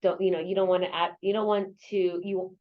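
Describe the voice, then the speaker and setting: Woman from el paso, lowish voice, earnest, lots of fillers, dull call audio. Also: little pitch movement, a little fast. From El Paso, Texas. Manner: earnest, repeats herself, many "you know" fillers. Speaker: real estate agent and local association president. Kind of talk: interview guest, casual conversation. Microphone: video or phone call.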